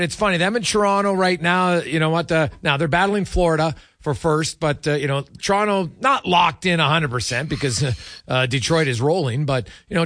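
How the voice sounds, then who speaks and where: A man's voice: on the high side, fairly bright tone, dry recording. A man hosting a sports radio show, chatting casually about hockey and putting meandering questions to his guest.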